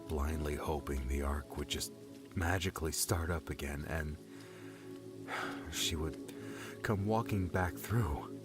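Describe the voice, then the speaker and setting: A man's voice: a bass voice, pitched below the performer's own voice. A man voicing a hot-headed, fiercely loyal young adventurer, earnest and full of grief, in an audiobook drama. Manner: earnest and emotional. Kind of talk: dramatic audiobook character acting.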